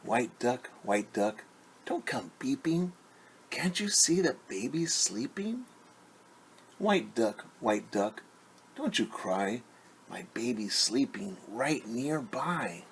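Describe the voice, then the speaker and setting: A man's voice: mid-range voice, very animated, slight background noise. Man voicing an anxious, pleading storybook mother, sing-song. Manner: sing-song, drawn-out phrases with hushed shushing. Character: anxious mother pleading softly for quiet around her sleeping baby. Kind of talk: storybook read-aloud, rhythmic refrain.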